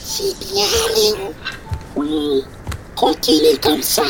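A performer voicing a gleeful, sinister little toy that goads a child in an audio horror fiction, squeaky and singsong. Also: wildly swooping pitch, slow pace, creaky, music in the background, full character voice. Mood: coaxing, pleased. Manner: urging, repeating words in a singsong.

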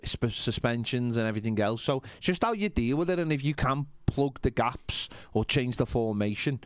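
A man's voice: mid-range voice, lively intonation, normal speed, no echo, clean studio sound. A man talking as a podcast panellist in casual sports chat, opinionated and emphatic, with a rambling delivery.

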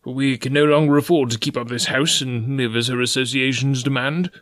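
A man's voice: mid-range voice, fairly even intonation, brisk, fairly bright tone, dry recording, clean studio sound, natural voice. A man voicing a fussy, courteous, anxious old professor in an audiobook story. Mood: courteous, resigned. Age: older.

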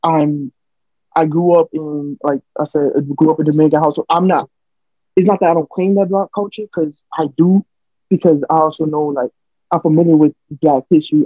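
A young man with an American accent, talking through his thoughts in an earnest, rambling way and restarting often. His voice sits fairly high but stays flat and even. The recording is dull and dry, like a home podcast setup. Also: no room sound. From United States. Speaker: podcast co-host. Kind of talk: casual chat.

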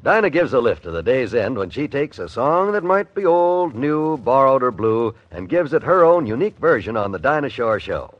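A male American network announcer reads a 1950s radio promo. His medium voice has lively, selling inflection. The recording is dry and lo-fi. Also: mid-range voice, normal speed, few pauses, no room sound. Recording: dull, dry old radio broadcast.

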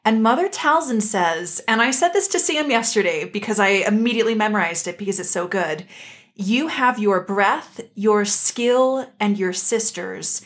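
A woman's voice: mid-range voice, expressive, moderate pace. A woman co-hosting a podcast, chatting casually with an emphatic, playful and opinionated delivery. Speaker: podcast co-host. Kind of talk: casual opinionated chat about an animated series.

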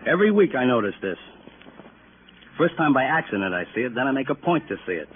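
An actor playing a fussy, impatient neighbourhood grocer in a 1950s radio drama, plain-spoken and repetitive. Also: male voice, mid-range voice, very animated, fast, lightly acted. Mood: insistent, explaining. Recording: tinny, noisy old radio recording.

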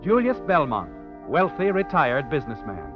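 An actor voicing a sardonic American storyteller of sinister crime tales, knowing and dramatic, in 1940s radio suspense style. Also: male voice, on the high side, huge pitch swings, moderate pace. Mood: knowing, ominous. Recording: muffled vintage radio recording. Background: dramatic music.

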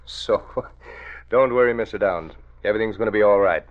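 A man's voice: fairly low pitch, moderate pace, a little hiss or hum, natural voice. An actor playing a confident male crook partner who talks in a soothing, reassuring way, in a dull old radio recording. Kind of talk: 1940s radio acting.

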